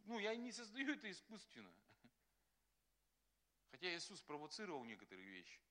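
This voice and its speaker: Lively, bright-voiced male pastor. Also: sits high, brisk. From Russia. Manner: animated, acts out quotes, laughs often. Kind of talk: sermon.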